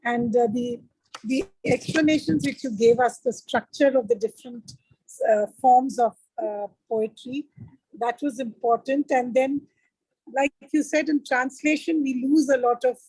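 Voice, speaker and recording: A woman giving a halting vote of thanks on a video call, with many fillers. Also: high-pitched, fairly even intonation, mellow, slightly rough, dry recording, rough recording.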